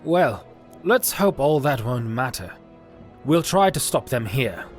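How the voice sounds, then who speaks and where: A man voicing a thoughtful male knight leader who speaks in a measured, reassuring way. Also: medium pitch, big pitch swings, faint music behind, pitched above the performer's own voice.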